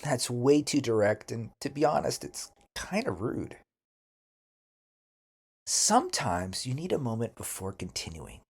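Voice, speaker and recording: A man teaching an English lesson, speaking deliberately and enunciating carefully as he gives model phrases for learners to repeat. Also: medium pitch, big pitch swings, creaky, breathy edge.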